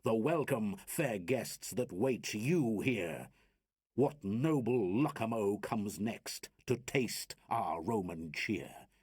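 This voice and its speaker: A man's voice: fairly low pitch, animated, takes it slowly, darkish tone, slightly rough, no echo, clean studio sound, full character voice. A man voicing a proud, defiant Roman warrior from epic verse, taunting his enemies in grand, scornful declamation. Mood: mocking challenge.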